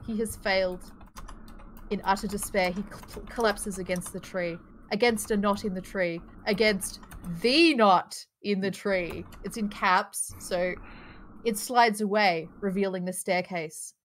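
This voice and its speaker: A woman's voice: mid-range voice, measured pace, a little hiss or hum, poor audio. Woman reading screenplay stage directions aloud. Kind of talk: live table read of a screenplay, with casual asides. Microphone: home microphone on a group video call.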